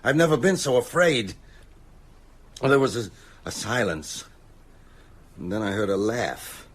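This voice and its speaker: An actor playing a frightened, haunted man in an audio drama, insistent and urgent, with halting delivery. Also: fairly low pitch, very animated, husky, exaggerated voice. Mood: haunted, hushed. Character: frightened older male teacher, insistent, haunted, wryly self-mocking.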